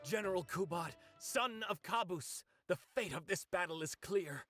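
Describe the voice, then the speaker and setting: A man's voice: high-pitched, expressive, normal speed, fairly bright tone. A man voicing a defeated but dignified army general, formal and declamatory, in a video game stage play. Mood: pleading, resigned. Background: background music.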